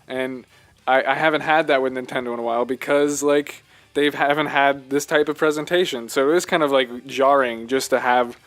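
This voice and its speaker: A man co-hosting a podcast, chatting casually about games in a halting, rambling way. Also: mid-range voice, steady tone, fairly bright tone. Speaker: podcast co-host. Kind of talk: casual chat, video game discussion.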